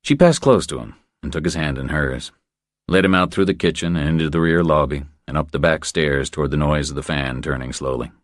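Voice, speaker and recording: A man's voice: rumbling low, a little fast, no echo, clean studio sound. A man reading scripted thriller narration as an audiobook narrator.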